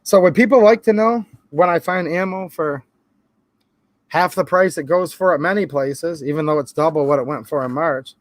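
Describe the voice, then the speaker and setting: High-voiced sarcastic man with a Michigan accent. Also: normal speed, no echo. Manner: sarcastic, chatty, keeps calling viewers "guys"; holds items up to the camera while talking. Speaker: firearms channel host. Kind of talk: casual talk to camera.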